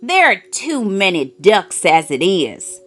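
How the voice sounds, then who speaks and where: A woman's voice: mid-range voice, sing-song, slow, fairly bright tone, faint music behind, heavily acted. A woman voicing a cranky old goose in a children's story, indignant, complaining and emphatic. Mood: indignant, scornful. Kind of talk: children's storybook character reading.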